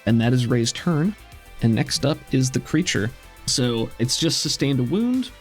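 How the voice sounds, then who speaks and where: A man runs a tabletop roleplaying game as game master. He describes things vividly in a mid-pitched voice and slips into casual asides, with music playing underneath. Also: animated, measured pace.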